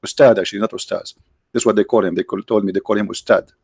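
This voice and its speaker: A man's voice: on the low side, fairly even intonation, quick pace, creaky, darkish tone, husky, no room sound, studio quality. A man whose first language is Arabic, hosting a live stream and debating a caller in a pushy, interrupting way, repeating his questions.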